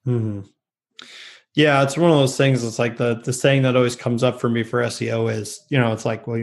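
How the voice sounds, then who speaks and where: Male podcast host, mid-range voice, hoarse and a bit raspy from illness, chatty and upbeat. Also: mid-range voice. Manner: upbeat, chatty, many fillers. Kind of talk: casual interview and outro.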